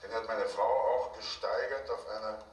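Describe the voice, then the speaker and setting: A man's voice: on the low side, fairly even intonation, moderate pace. A man chatting in a rambling, anecdotal way, recorded at a distance in an echoey room on a noisy archive tape. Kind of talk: casual chat, anecdotal.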